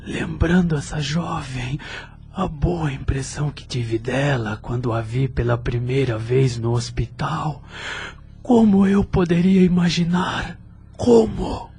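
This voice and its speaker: A man acting a middle-aged grieving widower in an audio drama, with a husky, breathy voice, a Brazilian accent and a halting, emotional, formal delivery. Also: mid-range voice, darkish tone, slight background noise. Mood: grief-stricken. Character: grieving, tender widower, deeply moved gentleman.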